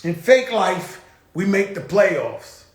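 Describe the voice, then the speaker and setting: A man's voice: high voice, big pitch swings, slow, echoey room. A man hosting his own show, talking in a blunt, profane, rambling casual monologue. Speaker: show host.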